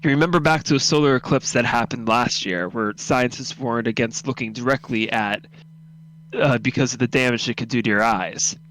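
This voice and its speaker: A man's voice: mid-range voice, moderate pace, a bit bright, slight background noise, poor audio. A man reading scripted second-person story narration for a radio drama.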